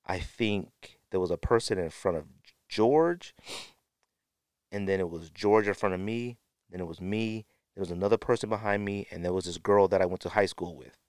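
A man's voice: sits low, normal speed, clear, resonant tone, dry recording, studio quality. An American man hosting a podcast, telling stories casually in slangy speech and acting out what people said. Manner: animated storyteller acting out quotes, slangy. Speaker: podcast host. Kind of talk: casual storytelling podcast talk.

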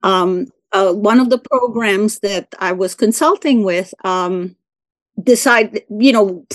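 Older woman, halting friendly talk. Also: medium pitch, no echo. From Venezuela. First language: Spanish. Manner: hesitant, many fillers and restarts, laughs easily. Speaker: interviewee. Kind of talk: conversational oral-history interview.

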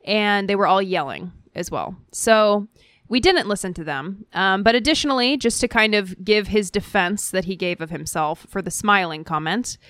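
A woman co-hosting a podcast, reading listener messages aloud in a measured, articulate manner. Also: mid-range voice, expressive, fairly bright tone, no room sound. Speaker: podcast co-host.

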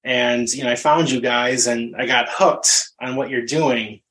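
Male podcast interviewer, mid-pitched voice. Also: steady tone, unhurried, fairly bright tone, low-quality recording. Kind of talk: casual interview chat.

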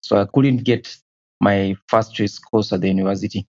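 A man's voice: on the low side, steady tone, normal speed, darkish tone, lo-fi sound. A man, answering interview questions hesitantly and often repeating a word as he recalls his past. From Kenya. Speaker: guest.

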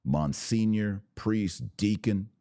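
A man hosting a religious podcast, preaching in an emphatic, declarative way with deliberate pauses and repetition. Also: on the low side, dark tone, no room sound, studio quality. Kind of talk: preaching monologue.

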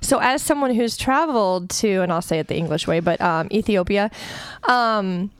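A woman's voice: mid-range voice, normal speed, clear, resonant tone, no echo. A woman, co-hosting a casual podcast, speaking thoughtfully with many hesitations. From Eastern Iowa, USA. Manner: thoughtful, hedging, many ums. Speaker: podcast co-host.

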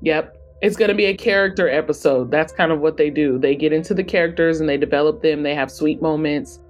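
Casting: woman with a fairly low voice, expressive interviewee in an easy conversation. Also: brisk. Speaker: podcast guest, author and publisher.

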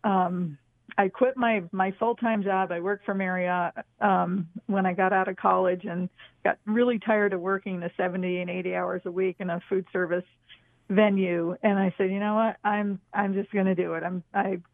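A woman's voice: medium pitch, fairly even intonation, normal speed, no echo. A woman in her sixties from northern New York, telling personal stories casually as an interview guest, heard over a phone line. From northern New York, USA. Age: 60s. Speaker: guest, author and former park ranger. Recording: tinny, narrow phone line.

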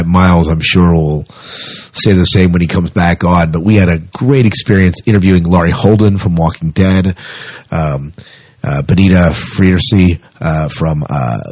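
Low-pitched, slightly husky man hosting a podcast. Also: dry recording. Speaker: podcast host. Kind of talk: casual podcast talk.

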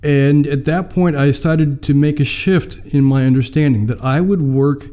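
American man, very dark mellow voice, measured storytelling, fillers, fifties. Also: on the high side. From United States. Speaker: mission mobilizer, guest. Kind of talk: personal testimony in an interview.

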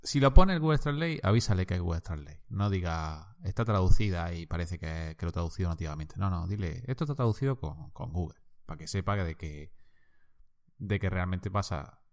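Low-voiced man ranting. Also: animated, fairly quick, darkish tone. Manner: exasperated, ranting. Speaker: podcast co-host. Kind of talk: casual chat.

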